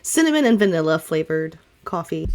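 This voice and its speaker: A woman's voice: low-pitched, very animated, a little fast, darkish tone. A woman running a tabletop roleplay game as game master, chatty and informal, with playful asides. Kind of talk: casual tabletop roleplay narration.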